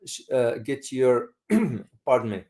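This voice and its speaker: Male host, from Morocco, casual live discussion style, halting delivery with repeated words, middling pitch. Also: very animated, warm tone.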